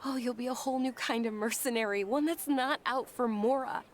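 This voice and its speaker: An actress playing a polite, tender-hearted young noble lady in a video game, earnest and gentle in manner. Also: fairly high pitch, fairly quick, slight background noise, natural voice. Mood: cheerful. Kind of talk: scripted game dialogue. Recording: music under the voice.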